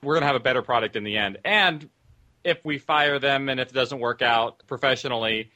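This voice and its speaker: A man's voice: mid-range voice, brisk, sharp tone. A man speaking casually as a guest producer in a discussion, loose and informal, padding his points with little qualifiers. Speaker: audio drama producer, panel guest.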